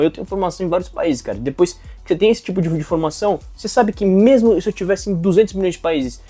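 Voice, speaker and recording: A young man from Brazil talks straight to his audience in a loose, slangy rant, cursing freely. His voice sits a little high but sounds warm and mellow. Soft music plays faintly behind him. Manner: slangy, profane, animated venting. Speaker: aspiring actor vlogger. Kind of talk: casual talk to camera.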